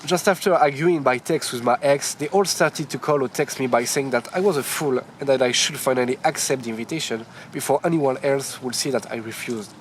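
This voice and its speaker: A man's voice: mid-range voice, fairly quick, few pauses, slight background noise, natural voice. A man, playing a young male law student in a mock news interview who hesitates and corrects himself. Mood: rueful. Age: 20s.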